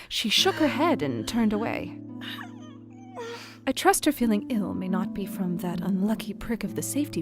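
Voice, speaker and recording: An anxious young Victorian woman, played by an actress, confides in her diary in a radio play. Her delivery is earnest and measured, in a medium-pitched voice with music playing behind her. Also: close to an everyday voice. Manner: earnest, measured, literary phrasing. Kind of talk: dramatic audio drama diary reading.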